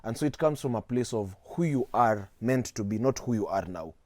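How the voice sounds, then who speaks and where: A man's voice: medium pitch, animated, darkish tone, no echo. A nineteen-year-old man with a Kenyan accent, a guest speaking earnestly and steadily in a studio interview. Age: teens. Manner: earnest, measured, mixing in Swahili slang.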